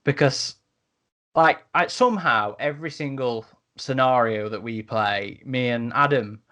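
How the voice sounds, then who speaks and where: A man with a medium-pitched voice talks as a podcast host. He keeps it conversational and casual, often pausing and repeating a word as he works toward his point. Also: bright, forceful tone.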